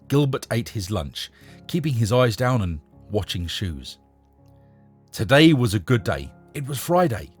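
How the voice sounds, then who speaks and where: A man with a husky voice narrating a short story in a measured, expressive storytelling manner. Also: fairly low pitch. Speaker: narrator and host reading short stories. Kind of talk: scripted story narration with a short casual introduction.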